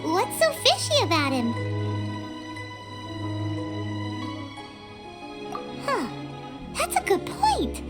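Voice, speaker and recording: A woman voicing a small, chatty, excitable childlike fairy companion in a video game, perky and curious. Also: unhurried, over loud music, full character voice. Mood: pondering. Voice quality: squeaky, childlike. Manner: perky, exclamatory, refers to herself in third person.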